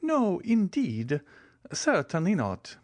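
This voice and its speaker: A high, lilting old soldier who fawns and frets, eager to please the count. A man reads him aloud in a storybook, pushing his voice well up. Dry and close. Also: huge pitch swings, slow pace, dry recording, exaggerated voice. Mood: eager, fawning. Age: older. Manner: timid, fawning, exclaiming. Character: timid, eager old Italian ex-major.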